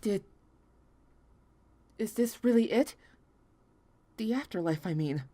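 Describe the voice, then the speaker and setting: A woman's voice: mid-range voice, lively intonation, slow, dark tone. A woman playing a grieving, despairing widow in an audio drama, stubbornly and emotionally arguing with her own mind. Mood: bewildered. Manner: defiant, pleading, emotional. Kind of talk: amateur audio drama acting.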